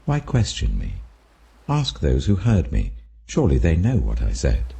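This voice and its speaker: A man voicing a deep, grave holy teacher. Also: huge pitch swings, a little slow, dark tone, exaggerated voice. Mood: earnest, challenging. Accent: British. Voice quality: deep, husky. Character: calm, authoritative holy teacher, grave and unafraid.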